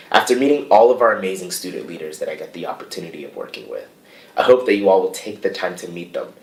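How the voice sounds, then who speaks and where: Young guy reading a formal speech to a board, real low voice, sounds far from the mic. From United States. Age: young adult. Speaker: student association president. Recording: distant, echoey remote audio.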